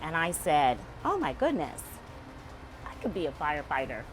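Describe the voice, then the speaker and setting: Brief: woman firefighter, lower voice, eager retelling, music clear behind. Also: big pitch swings, normal speed, rough recording. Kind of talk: interview testimonial.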